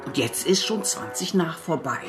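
A woman's voice: low-pitched, expressive, normal speed, over music. A woman playing a doubtful, wistful older wife, resigned and mildly reproachful, in a radio drama. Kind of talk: naturalistic German radio drama.

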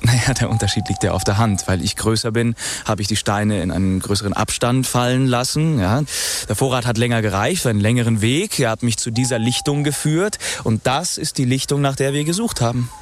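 A man's voice: medium pitch, brisk, a little hiss or hum, lightly acted. An actor playing an obsessive male fairy-tale archaeologist, lecturing insistently and emphatically in a German radio crime drama. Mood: eager, earnest.

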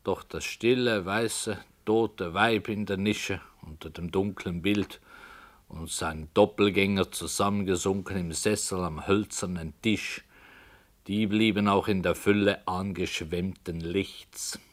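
An actor playing an author who calmly tells his story in a measured, deliberate way. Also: low voice. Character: calm, unyielding male radio play author. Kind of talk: radio play acting.

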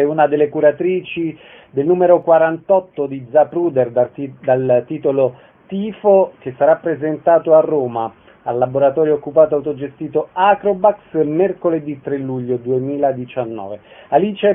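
A man's voice: fairly high pitch, normal speed. A man hosting a radio interview, introducing guests and putting questions to them. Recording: tinny, phone-like radio sound.

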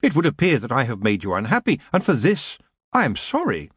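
A man voicing a calm, polite young man who stands firm in a storybook, measured and courteous. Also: mid-range voice, slightly rough, no room sound, studio quality, heavily acted.

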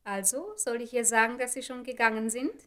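An actress plays a loyal secretary in a radio drama. Her voice is on the high side. She speaks politely and efficiently, with a pointed, devoted undertone. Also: a little fast, a bit bright, close to an everyday voice. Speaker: actor in a radio play. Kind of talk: German radio play acting.

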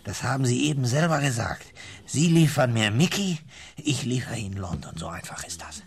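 A man's voice: mid-range voice, big pitch swings, normal speed, vocal fry. An actor playing a pushy, impatient spy handler in a German radio play, curt and demanding as he interrogates. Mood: urgent, persuasive.

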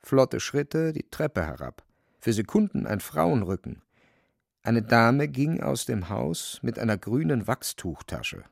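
A man's voice: mid-range voice, very animated, slow, creaky, dry recording, clean studio sound. A man narrating a radio play in a wry, dramatic literary storytelling manner.

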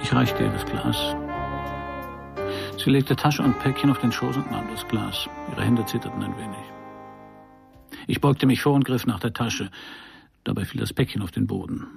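A man's voice: lowish voice, normal speed, gravelly, long pauses, over music. An actor playing a middle-aged private detective in a noir radio play, narrating his case in a dry, laconic, sardonic way. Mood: cool, probing. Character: hard-boiled male private detective narrating his case, wry and probing.

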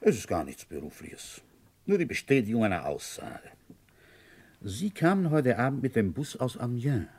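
Husky, animated police inspector. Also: male voice, medium pitch, moderate pace. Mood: weary, polite. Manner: probing, polite but insistent. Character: male police inspector, insistent, questioning a witness. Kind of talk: German radio drama acting.

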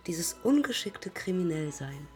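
Radio play: proud, self-questioning female pilot, slightly low register, clipped and confessional, faint music behind. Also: big pitch swings, unhurried. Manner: clipped, terse confessional fragments. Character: heroic, self-doubting young woman aviator who took her own life. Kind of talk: poetic German radio play acting.

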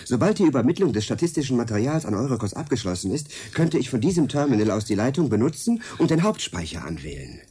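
An actor playing an excitable, idealistic male hacker with a cynical streak in a naturalistic German radio drama, in a husky, conversational voice. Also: mid-range voice, quick pace, hardly any pauses. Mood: eager, persuasive.

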